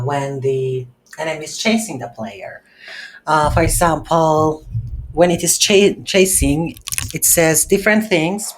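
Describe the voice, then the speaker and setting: A woman giving an unscripted software tutorial as an instructor, halting and thinking aloud while she types. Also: low-pitched. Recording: roomy echo, faint background noise.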